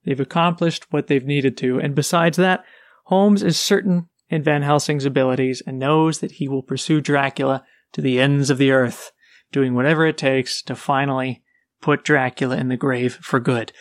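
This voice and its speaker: A man's voice: on the high side, normal speed, no room sound, studio quality. Man retelling a plot with energy. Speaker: podcast co-host. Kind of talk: casual book discussion.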